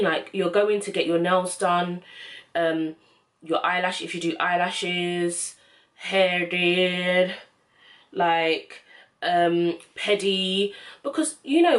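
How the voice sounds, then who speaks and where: A young woman gives casual, encouraging advice in a slangy, informal vlog style. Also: medium pitch. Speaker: self-help vlogger.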